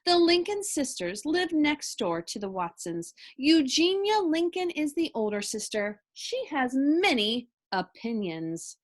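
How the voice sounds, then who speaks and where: A woman reading a children's story aloud with expressive narration. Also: sits high, unhurried, few pauses.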